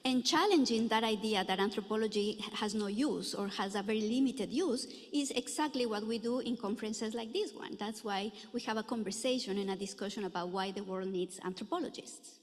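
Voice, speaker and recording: A middle-aged woman from Ecuador giving a conference lecture in a lecture room, earnest and full of filler pauses. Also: mid-range voice. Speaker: anthropology professor giving a talk. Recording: echoey hall reverb.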